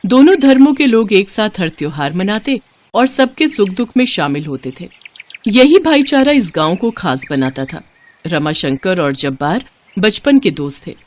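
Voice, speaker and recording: A woman's voice: mid-range voice, sing-song, normal speed, darkish tone. A woman reading a scripted children's story as the storyteller.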